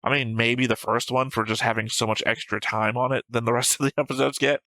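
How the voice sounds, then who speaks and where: A man's voice: mid-range voice, level tone, brisk, sharp tone, dry recording. A man co-hosting a reaction show, talking through his opinions in casual, argumentative discussion. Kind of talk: casual discussion and episode commentary.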